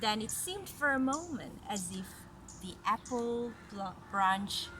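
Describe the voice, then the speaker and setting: A woman reading a fairy tale aloud to children in an instructive way, pausing for chatty comments and questions. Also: fairly high pitch, lively intonation. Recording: noisy home recording.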